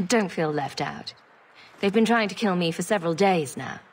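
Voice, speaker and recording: A woman's voice: on the low side, expressive, a little slow, slightly rough. An actress playing a bold, wisecracking young female hero in a video game, flippant and sardonic. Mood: light, joking. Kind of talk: video game character acting.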